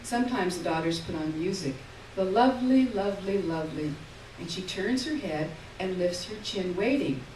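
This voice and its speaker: A woman reading literary fiction aloud on stage in a lyrical, measured way, heard from far off in an echoey hall. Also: fairly low pitch, expressive, darkish tone, slight background noise. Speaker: reader. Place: theater stage.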